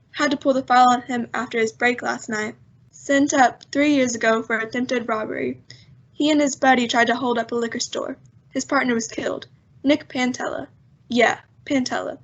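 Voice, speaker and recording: A woman's voice: a little high, fairly even intonation, moderate pace. A woman playing a breezy, wisecracking male newspaper man in an old-time radio drama, chatty and slangy. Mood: matter-of-fact. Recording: dull lo-fi audio.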